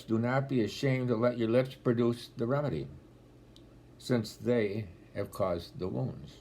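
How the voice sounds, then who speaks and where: A man in his eighties with a deep voice, speaking as a deacon in a homily-style talk, telling stories with gentle dry humor, filmed on a laptop webcam at home. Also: a little hiss or hum. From Rochester, New York area. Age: 80s. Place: home room, indoors. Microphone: laptop webcam at close range.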